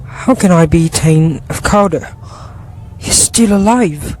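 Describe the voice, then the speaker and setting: A man playing a proud war general in an amateur radio drama, speaking in a declamatory, deliberate way. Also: on the high side, a little mellow, a little hiss or hum. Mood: alarmed, disbelieving. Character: proud Scottish war general, startled and disbelieving.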